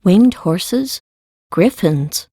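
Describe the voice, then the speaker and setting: A woman narrates a story for an audiobook at a very slow, deliberate pace. Her voice sits fairly low, and the recording is dry, with no room sound. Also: lively intonation, dark tone, breathy edge, dry recording.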